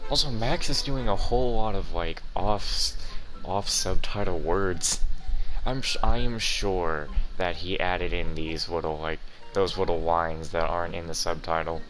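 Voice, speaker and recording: A man's voice: deep voice, unhurried, low-quality recording. A man giving casual playthrough commentary on a video game as host of the video. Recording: game music behind the voice.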